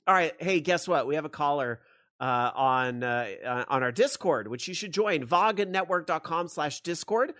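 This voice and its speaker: A man's voice: fairly high pitch, expressive, normal speed, fairly bright tone, no room sound, clean studio sound. A man in his forties hosting a podcast, rambling in casual chat and often laughing at himself. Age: young adult. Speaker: podcast host.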